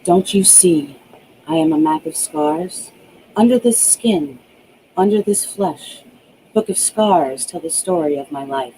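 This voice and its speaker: A woman voicing a haunted, empty middle-aged asylum patient in a storybook reading, reciting slowly like an incantation. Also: sits low, dark tone, a little hiss or hum, poor audio. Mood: haunted, bleak.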